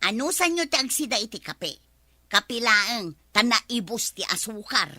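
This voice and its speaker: An actress with an Ilocano accent playing a dry, defiant, long-suffering wife in a radio comedy drama. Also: female voice, a little high, sing-song, moderate pace, sharp tone, no room sound, heavily acted. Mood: dry sarcasm.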